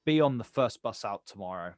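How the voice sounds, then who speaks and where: An actor playing a bitter, protective older brother, confrontational and incredulous, in a naturalistic stage drama. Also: male voice, fairly low pitch, expressive, fairly quick, no echo, studio quality, close to an everyday voice. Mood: angry. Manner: confrontational, incredulous, clipped questions.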